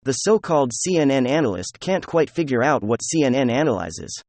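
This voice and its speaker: A man's voice: mid-range voice, sing-song, brisk, darkish tone, no room sound. A man delivering opinionated news commentary in a sarcastic, mocking manner. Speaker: political commentator.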